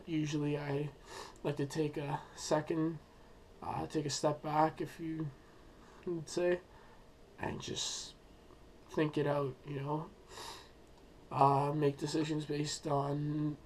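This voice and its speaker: A young man who is sick and losing his voice, giving an unscripted school presentation with many pauses and fillers. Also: fairly high pitch, a little mellow, a little hiss or hum. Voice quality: hoarse. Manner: hesitant, many ums and uhs, thinking aloud. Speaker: student presenting a school project.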